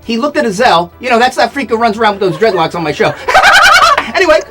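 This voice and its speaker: Gushing talk show host with a lively voice. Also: male voice, high-pitched, fast, loud music behind the voice. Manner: gushing, repetitive, keeps saying "you know what". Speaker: talk show host playing a vampire count. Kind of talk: playful casual interview.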